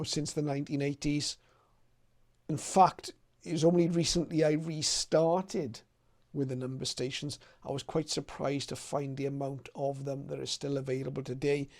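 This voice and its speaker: A man's voice: a little high, darkish tone. A middle-aged or older man presenting an informal screen tutorial, explaining step by step in a loosely phrased, hesitant way. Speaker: presenter of a shortwave radio tutorial. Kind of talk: informal tutorial commentary over a website demo.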